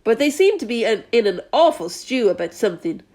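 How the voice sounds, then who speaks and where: A woman's voice: on the high side, sing-song, brisk, darkish tone. A mischievous, grinning centipede calls down with a lifted, playful voice, as a woman reads a storybook aloud. He sounds pleased with himself and full of cheek. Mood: cheeky.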